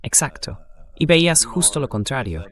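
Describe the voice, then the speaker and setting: Synthetic male voice with lively intonation. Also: on the high side, moderate pace. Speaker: translation voice-over. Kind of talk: dubbed political interview, conversational commentary.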